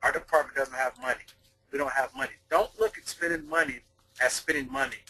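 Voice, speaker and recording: A man's voice: mid-range voice, fairly even intonation, brisk, bright, forceful tone, slightly rough, no echo, poor audio. A man, a deputy fire chief, co-presenting a webinar in a conversational way with frequent fillers and restarts.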